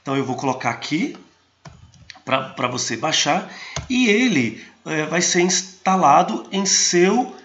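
A man from Brazil walks through software on screen in a tutorial voice-over, restarting words often. His tone is bright and forceful. The recording sounds dull and muffled. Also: on the high side, lively intonation, unhurried. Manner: hesitant, frequent restarts and fillers. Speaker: host of a geotechnology channel.

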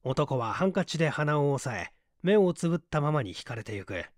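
Guy reading a mystery novel out loud, really animated pitch, super dry recording. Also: brisk, no echo. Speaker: audiobook reader, small-theatre stage actor. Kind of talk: dramatised literary narration of a mystery novel.

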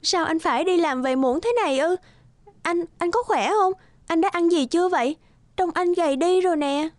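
An actress playing a devoted, gentle and anxious young village woman in a cartoon. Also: extremely high pitch, moderate pace, dry recording, studio quality. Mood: worried. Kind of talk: cartoon dialogue acting. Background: background music.

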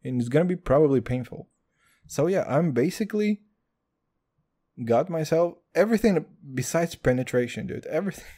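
Crude, excitable man ranting. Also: on the high side, moderate pace. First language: Hebrew. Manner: crude, loud swings, calls listeners dude. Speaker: podcast host. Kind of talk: comedic solo podcast rant.

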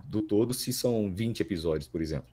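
A Brazilian man in his thirties with a fairly low voice talks as a podcast guest. He explains things casually and haltingly, often checking in with small tag questions. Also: animated, dark tone, slightly rough. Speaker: guest, voice actor.

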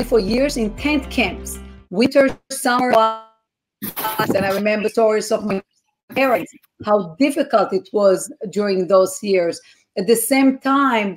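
A middle-aged woman, a guest on a video call, speaking earnestly with frequent "uh" fillers. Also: fairly high pitch. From Israel. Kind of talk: interview storytelling. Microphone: video call webcam.

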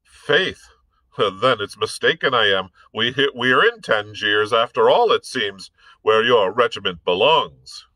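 A man playing a cool, witty physician who never loses his composure. He speaks slowly and wryly, his bright tone carrying a lilt. The sound is close and dry. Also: fairly low pitch, lively intonation, dry recording, full character voice, low-quality recording. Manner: wry, composed.

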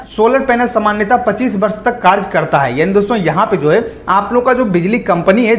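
A man presenting an explanatory online tutorial, going over points repeatedly in a roomy, echoing recording. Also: high-pitched. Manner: repetitive, explanatory, reads out then paraphrases.

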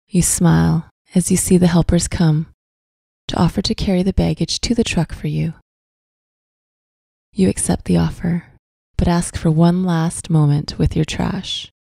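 A woman narrating a scripted guided visualization in a soft, breathy, soothing voice, with deliberate phrasing and long pauses. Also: fairly low pitch, no room sound, clean studio sound. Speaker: guided meditation host.

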